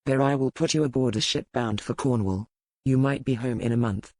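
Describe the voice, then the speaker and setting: A woman voicing a formal, resigned male pirate captain in an audiobook, speaking with measured gravity. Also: very deep voice, darkish tone, dry recording, voice pushed down. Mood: regretful, resigned. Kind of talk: dramatic audiobook character reading.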